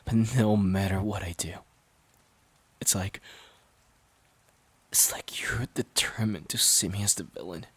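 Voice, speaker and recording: A man playing a worn-out, resentful boyfriend in an audio drama, pleading in a halting, strained way. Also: sits low, fairly even intonation, fairly bright tone, breathy edge, exaggerated voice. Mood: defensive, pleading. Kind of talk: dramatic audio roleplay monologue.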